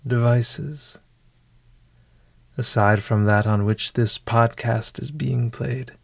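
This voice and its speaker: A man narrating a scripted bedtime meditation as a podcast host, in a hushed, deliberate manner with long pauses. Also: mid-range voice, gravelly, dry recording.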